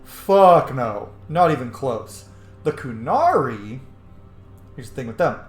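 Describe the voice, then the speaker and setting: Animated gamer in an echoey room. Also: medium pitch, slow pace. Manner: opinionated, swears. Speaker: gaming video host. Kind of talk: casual playthrough commentary. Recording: echoey room, faint game music.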